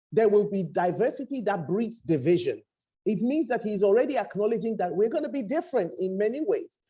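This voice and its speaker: A woman pastor with a Ghanaian accent preaches to a remote audience in an earnest, reflective way. Her voice is mellow and warm, and she often hesitates and repeats words. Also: medium pitch, slightly rough. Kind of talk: sermon-style talk. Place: home in Accra, speaking to a remote audience.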